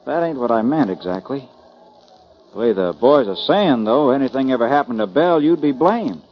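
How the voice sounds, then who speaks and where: A man's voice: medium pitch, animated, normal speed, lightly acted. An actor playing a folksy, earnest male rodeo cowboy, homespun and hesitant in 1940s radio style. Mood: friendly, uneasy. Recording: dull, muffled 1940s radio recording.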